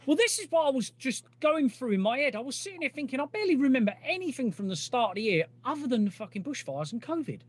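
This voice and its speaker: A man's voice: extremely high pitch, very animated, fast talker, few pauses. A man co-hosting a podcast in casual, jokey chat, swearing often. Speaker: podcast co-host.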